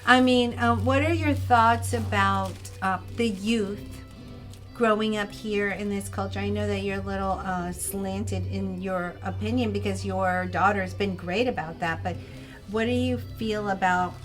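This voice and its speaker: A woman's voice: mid-range voice, fairly even intonation, measured pace, fairly bright tone, soft background music. A woman hosting an interview, chatty and enthusiastic, often laughing. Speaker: host. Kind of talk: casual interview chat.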